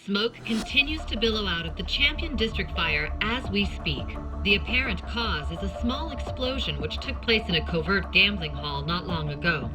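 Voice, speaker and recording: A woman playing a serious TV news reporter in a video game, reading a formal, measured report. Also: mid-range voice, expressive, music in the background, natural voice, low-quality recording. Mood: sober, formal.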